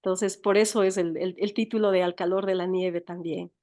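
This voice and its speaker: A woman's voice: lowish voice, steady tone, normal speed, a crowd in the background. A woman from Ecuador with an Ecuadorian accent, speaking conversationally as a guest writer and educator in an interview.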